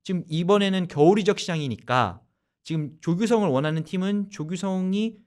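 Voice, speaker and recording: A man hosting a radio sports talk show, explaining at length in a loose, animated conversational way. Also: sits high, brisk.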